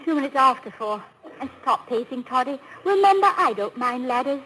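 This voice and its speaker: An actress playing an affectionate former London stage star, now a wife, in a 1950s radio comedy-drama, genteel and gently teasing. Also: female voice, sits high, lively intonation, normal speed. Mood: playful, teasing. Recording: noisy vintage 1950s radio broadcast.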